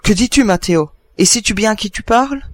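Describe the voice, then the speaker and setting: A man's voice: high-pitched, fairly quick, dry recording, pitched above the performer's own voice, lightly acted. A man voicing a severe, indignant wife and anxious mother in a storybook reading. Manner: indignant, questioning.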